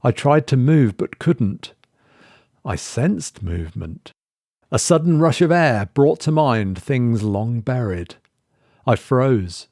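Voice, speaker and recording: A man narrating a horror story in a measured, suspenseful way. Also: medium pitch, expressive, creaky, darkish tone, dry recording, studio quality. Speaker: story reader.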